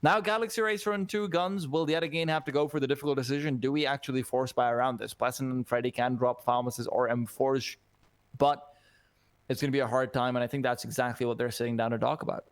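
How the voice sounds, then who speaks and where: A man casting a live esports match, English not his first language, energetic and jokey, laughing often. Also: medium pitch, fast talker. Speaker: esports caster. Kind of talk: live play-by-play commentary.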